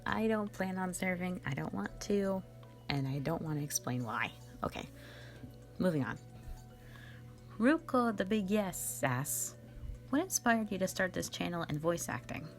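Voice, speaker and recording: Low-voiced, giggly young woman chatting casually. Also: animated, unhurried, soft background music. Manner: giggly, rambling, playfully self-mocking. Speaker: online channel host and amateur voice actor. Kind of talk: casual question-and-answer chat to followers.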